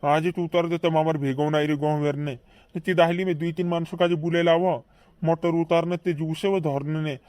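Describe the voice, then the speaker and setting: A practical cartoon village man voiced by a male actor with a fairly high voice and flat melody. He speaks insistently, repeating himself in a rural western Madhya Pradesh accent. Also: moderate pace, no room sound, lightly acted. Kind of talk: cartoon comedy dialogue in rural dialect.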